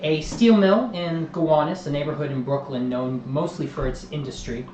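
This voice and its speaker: A man's voice: a little high, moderate pace, a little mellow, a little hiss or hum. Man game master in an echoey room. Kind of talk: livestream tabletop game narration.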